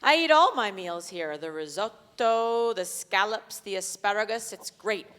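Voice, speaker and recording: A woman's voice: medium pitch, animated, a little slow, a bit bright, clean tone. Woman acting a crude flirty bar regular lady, blunt n casual, stage play, big echoey hall. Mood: chatty. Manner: foul-mouthed, casual and blunt. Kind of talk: live staged play reading. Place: theatre stage before a live audience. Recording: reverberant theatre hall, live stage.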